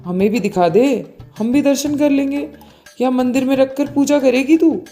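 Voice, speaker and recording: A woman's voice: high-pitched, normal speed, mellow, voice pushed up. A woman voicing a bossy, suspicious older mother-in-law in a moral storybook tale, with a commanding, scolding manner. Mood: sarcastic. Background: faint music.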